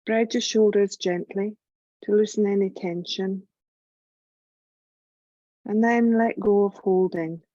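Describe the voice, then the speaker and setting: A woman leading a guided yoga nidra meditation in a gentle, calm, measured voice with long pauses. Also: medium pitch, no room sound, lo-fi sound. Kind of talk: slow guided relaxation meditation narration.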